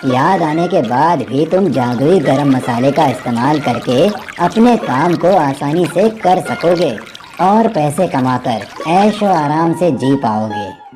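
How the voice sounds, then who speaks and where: A woman voicing a kindly male water god, solemn and reassuring, in an animated moral story. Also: low voice, slow pace, music in the background. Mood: benevolent, reassuring.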